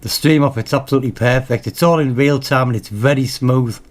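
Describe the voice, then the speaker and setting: Man casually reviewing radio gear, halting delivery. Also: mid-range voice. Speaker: radio hobbyist presenting own channel. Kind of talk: casual off-the-cuff equipment review.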